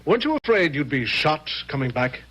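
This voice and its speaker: An actor playing a grave, probing, thoughtful army general, speaking with measured authority in a radio drama. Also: male voice, on the high side, sing-song, a bit bright, husky, full character voice. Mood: firm. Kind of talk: 1970s radio drama acting. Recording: noisy vintage radio recording with hum.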